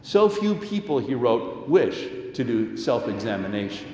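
A man's voice: mid-range voice, big pitch swings, measured pace, dark tone, a little hiss or hum. Male preacher in an echoing hall. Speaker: pastor preaching. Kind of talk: sermon with scripture and commentary quotes. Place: large echoing church or hall. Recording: echoey hall with long reverb.

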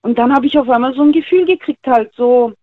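High-voiced woman on a tinny phone line. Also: a little fast, no room sound. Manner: colloquial, emphatic, repeats phrases. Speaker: radio phone-in caller. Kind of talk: casual personal storytelling. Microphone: telephone.

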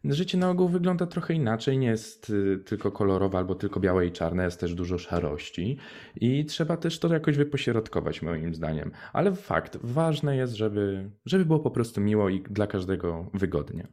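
A man's voice: lowish voice, sing-song, normal speed, darkish tone. A young man co-hosting a radio show, chatting in an informal, conversational way. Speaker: radio co-host. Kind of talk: casual radio chat.

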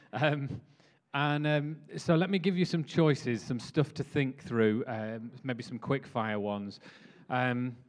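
A man hosts a church meeting and talks his way through an interview. His speech is halting, full of fillers and quick laughs, at an average pitch. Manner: hesitant, friendly, lots of fillers and laughs.

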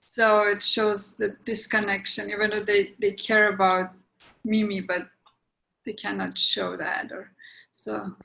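Soft-spoken woman playwright on a call. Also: medium pitch, little pitch movement, unhurried, gravelly, slight background noise, low-quality recording. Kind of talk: casual group discussion. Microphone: video call.

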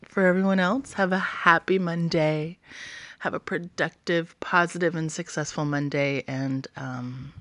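A woman recording an intimate, flirty voice message for followers, with a sultry, teasing manner that dips toward breathy near-whispers. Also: low-pitched, steady tone, measured pace, a bit bright, no room sound. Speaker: adult content creator.

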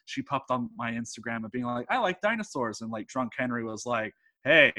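A man's voice: medium pitch, lively intonation, a little fast, bright, forceful tone. A man in his twenties from Texas, a guest on a podcast chatting casually and enthusiastically, full of "like" and "uh". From Texas, USA. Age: 20s. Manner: enthusiastic and rambling, heavy use of "like" and "uh". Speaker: podcast guest, host of a film podcast.

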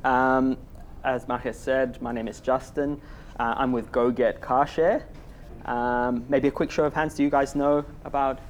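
A man with a Zimbabwean accent talks casually on a live panel, leaning on "uh" between phrases. His voice sits at an ordinary middle pitch. Faint room noise hangs behind him. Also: level tone, a little slow. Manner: chatty, many "uh" fillers, laughs along. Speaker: panel guest, car share manager. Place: workspace event room with small audience.

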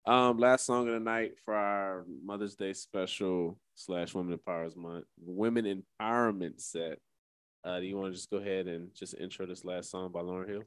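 A man's voice: low-pitched, steady tone, normal speed, clear, resonant tone, dry recording. An American man co-hosting a live radio show with casual, bantering talk about music.

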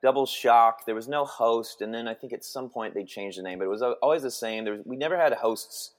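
A man in his forties from Texas, a guest chatting in an interview, who talks in halting bursts with many restarts. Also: mid-range voice. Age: 40s. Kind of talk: casual interview chat.

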